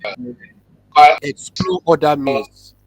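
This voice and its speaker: A man with a husky voice speaks haltingly and repeats himself in a live online panel discussion. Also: a little high, dry recording, faint music behind, poor audio. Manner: halting, repeats phrases as he goes. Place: online video call. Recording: doubled by delayed feedback on video call.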